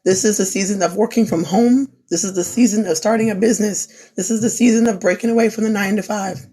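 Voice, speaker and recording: A woman's voice: medium pitch, fairly even intonation, fast talker, dark tone, breathy edge, poor audio. A young woman who is losing her voice gives an earnest, repetitive pep talk on a live stream. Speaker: small business owner, crafter. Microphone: phone.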